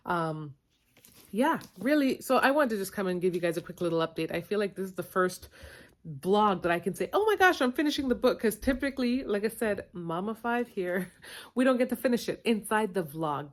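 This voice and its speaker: A middle-aged woman vlogging about books in a casual, rambling way, correcting herself and laughing at her own words, with a stuffed-up nose. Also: lowish voice. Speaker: book vlogger. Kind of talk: casual chatty book review. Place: basement at home.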